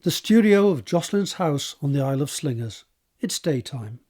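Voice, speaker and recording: A man reading scripted scene descriptions as a narrator, in a measured, descriptive way. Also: on the high side, expressive, a little mellow. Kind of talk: scripted narration of stage directions.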